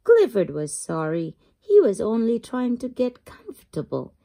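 Slow, expressive woman reading to children. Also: a little high, vocal fry. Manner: expressive, drawn-out emphasis for young listeners. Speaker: children's book reader. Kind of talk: read-aloud children's storytelling.

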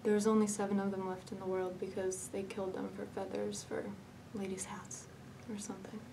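A woman performing a dramatic monologue as a fragile, haunted young woman, speaking haltingly with hesitant pauses and repetitions. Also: mid-range voice, little pitch movement, distant mic, noisy background, lightly acted. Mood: wistful, hushed.

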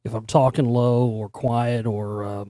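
A middle-aged man talks his way through a solo broadcast with a hoarse, worn-out voice that is only half back. His tone is dark and mellow, and the sound is dry and close, with no room around it. He rambles loosely, full of fillers and the odd swear word. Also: medium pitch, fairly even intonation, measured pace, no echo. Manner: rambling, conversational, frequent fillers and mild swearing. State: hoarse, voice recovering after straining it. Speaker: radio show host. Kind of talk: casual solo talk show monologue.

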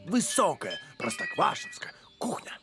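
Актёр озвучивает хвастливого, легко возбудимого пса-блогера в мультфильме, говорит восклицаниями и с модными словечками. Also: male voice, high voice, wildly swooping pitch, a little slow, full character voice. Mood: pompous, bossy. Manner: exclamatory, slangy, showing off. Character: boastful excitable male dog, a wannabe blogger. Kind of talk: animated cartoon voice acting. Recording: music behind the voice.

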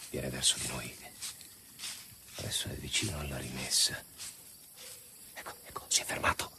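An actor playing an anxious engineer on a night stakeout in a radio drama, hushed and watchful. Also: male voice, rumbling low, level tone, moderate pace, gravelly, background noise. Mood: hushed, tense. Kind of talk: Italian radio drama acting.

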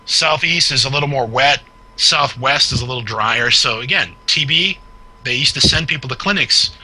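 Bright, husky middle-aged New man. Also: medium pitch, moderate pace, rough recording. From Massachusetts, New England. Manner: expansive, gestures a lot while explaining. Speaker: guest health expert. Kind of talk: casual explanatory chat. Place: home room with window open and overhead fan. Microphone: headset on video call.

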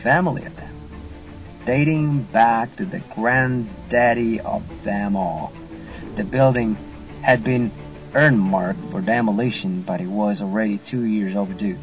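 A man voicing a weary, wistful old grandfather who tells his past slowly and reflectively in an audiobook drama. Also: lowish voice, distant mic, close to an everyday voice. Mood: reminiscing. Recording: muffled recording with music behind.

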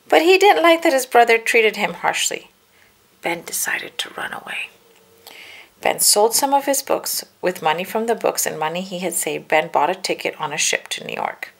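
A woman reading a children's storybook aloud, with careful, deliberate phrasing for young listeners. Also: on the low side, gravelly, fairly bright tone. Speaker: children's book reader. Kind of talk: read-aloud storybook narration.

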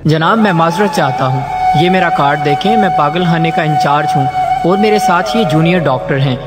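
A man's voice: a little high, brisk, lightly acted. A man playing a stern, officious asylum doctor in a radio drama, speaking formally and with command. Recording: loud music behind.

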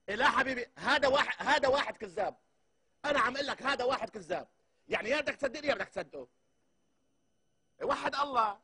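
A fast, high-voiced Syrian barber, acted by a man in a comedy sketch. He is chatty and hot-tempered, arguing and exclaiming in a rough, husky voice. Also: sharp tone, heavily acted. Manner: argumentative, exclamatory, repeats himself. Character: hot-tempered, chatty male barber ranting into his phone.

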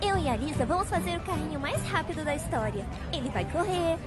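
A woman voicing an excitable, eager young girl inventor in an animated webseries. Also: high-pitched, fast, over loud music. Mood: excited. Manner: eager, exclamatory.

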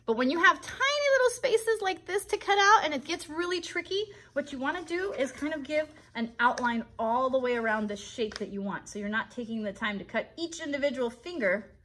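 A woman's voice: high voice, expressive, fairly quick, few pauses, bright, forceful tone. An American woman in her thirties hosting a live craft tutorial for children, chatty and encouraging as she talks directly to them. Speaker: children's craft host and puppeteer. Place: child's bedroom set indoors.

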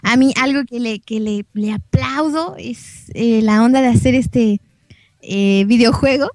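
A young woman with a Mexican accent talks casually as an interview guest. Her voice sits fairly high and she speaks with enthusiasm. The sound is dry, with very little room in it. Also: unhurried, darkish tone, no room sound.

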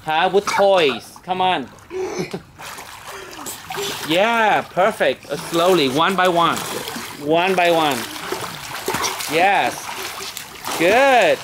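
A man's voice: high-pitched, expressive, drawn-out words, pauses often. A middle-aged man, coaxing and teasing children as he coaches them by a backyard pool, recorded outdoors on a camera a few meters away. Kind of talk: casual family coaching. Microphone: handheld camera a few meters off. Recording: splashing water noise.

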